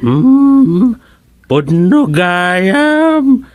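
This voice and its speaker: A senile old grandparent from a radio comedy, very slow and halting, with swooping pitch. The speech is full of grunts and hums, befuddled yet mischievous. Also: sing-song, a little mellow, soft background music. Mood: befuddled, stubborn. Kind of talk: comedic Ilocano radio drama acting.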